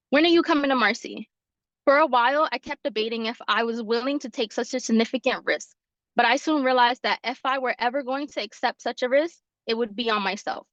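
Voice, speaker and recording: A woman's voice: on the high side, fairly bright tone, no echo. Young woman reading her story, lo-fi call. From New York. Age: 20s. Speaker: fellow presenting her story. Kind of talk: reading a prepared personal story. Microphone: video call.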